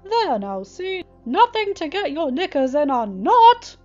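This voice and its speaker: A woman's voice: high-pitched, big pitch swings, unhurried, pitched above the performer's own voice. A woman voicing a mischievous male chaos spirit, teasing and drawn out. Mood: taunting. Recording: slightly muffled with faint music.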